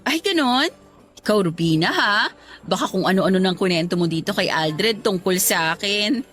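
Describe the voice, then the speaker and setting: Actress doing bold nosy filipina bestie, radio drama, animated and flirty, bit high, faint music under. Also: female voice, normal speed, close to an everyday voice. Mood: flirty teasing.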